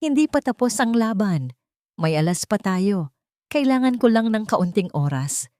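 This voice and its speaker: A woman's voice: mid-range voice, big pitch swings, unhurried, dark tone, no room sound, studio quality, voice pushed up. A woman voicing a determined male lawyer in an audio story, speaking firmly and reassuringly. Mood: resolute, reassuring.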